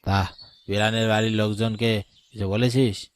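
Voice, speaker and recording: A man voicing a grave, worried older village elder in a cartoon story, measured and authoritative. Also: lowish voice, little pitch movement, clear, resonant tone, pitched below the performer's own voice, natural voice. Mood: grave, questioning.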